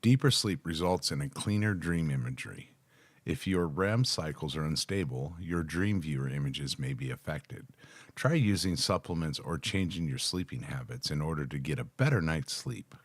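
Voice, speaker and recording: Low-pitched middle-aged American man. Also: lively intonation, normal speed, no echo. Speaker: reaction video host. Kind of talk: casual live reaction, reading text aloud with asides. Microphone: close microphone on a boom arm, headphones on.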